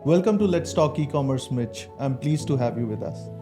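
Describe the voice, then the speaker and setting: A man's voice: medium pitch, lively intonation, moderate pace, over music. A man hosting a business podcast, opening with a polite, scripted welcome and asking questions. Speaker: podcast host. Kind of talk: scripted podcast intro and interviewing.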